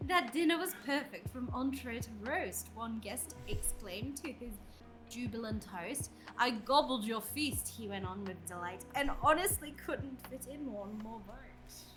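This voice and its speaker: A giggly, excitable woman doing a playful read-aloud among friends. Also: on the high side, unhurried, music in the background, poor audio.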